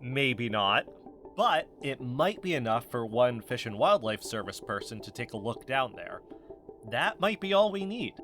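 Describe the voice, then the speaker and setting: An actor playing an eager, suspicious amateur investigator in a podcast drama. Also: male voice, mid-range voice, big pitch swings, a little slow, fairly bright tone, faint music behind. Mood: insistent. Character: eager, conspiracy-minded, nervous male amateur podcast investigator. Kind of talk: audio drama podcast dialogue.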